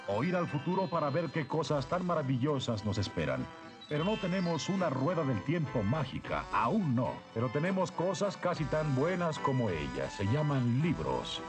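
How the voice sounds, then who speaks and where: An actor playing a calm, kindly young hero prince in a Latin American Spanish cartoon dub, speaking in a measured way. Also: male voice, medium pitch, natural voice. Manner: measured, earnest. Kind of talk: animated series dubbing.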